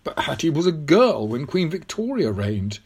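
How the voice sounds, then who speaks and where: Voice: medium pitch, big pitch swings, moderate pace, darkish tone, breathy edge, voice pushed up. A narrator voicing an earnest young boy in an audiobook, polite, dogged and puzzled. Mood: bewildered. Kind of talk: audiobook character voice.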